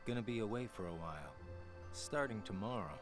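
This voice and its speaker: A man plays an easygoing young man in a video game cutscene. His voice sits fairly low, with a wry, laid-back delivery. Music plays clearly underneath. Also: lively intonation, brisk, lightly acted. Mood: casual, wry. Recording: game audio with music behind.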